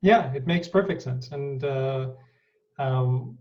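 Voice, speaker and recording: A man hosting an interview, affirming his guest and pausing often with fillers. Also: medium pitch, distant mic, highs cut off. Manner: affirming, halting with many fillers. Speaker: interview host. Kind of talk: conversational interview.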